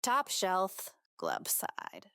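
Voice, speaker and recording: A woman's voice: mid-range voice, wildly swooping pitch, drawn-out words, bright, forceful tone, smooth, no echo, studio quality, exaggerated voice. A woman acting as a determined, wry hockey player daughter with some edge, in an audiobook drama. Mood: fondly wry.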